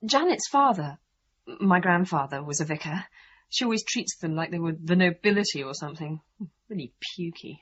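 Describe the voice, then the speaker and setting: Husky, lowish young British woman. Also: animated, normal speed, fairly bright tone, lo-fi sound. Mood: scornful. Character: sullen, sensitive young British woman, a daughter. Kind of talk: radio drama acting.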